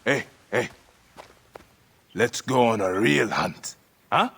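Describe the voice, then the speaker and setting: A man's voice: mid-range voice, lively intonation, slow pace, gravelly, fairly bright tone, slight background noise, exaggerated voice. An actor playing a protective, intense warrior father in a video game. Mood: fatherly, teasing. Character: warrior father, protective, playful with child, fierce toward foes. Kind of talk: dramatic video game acting.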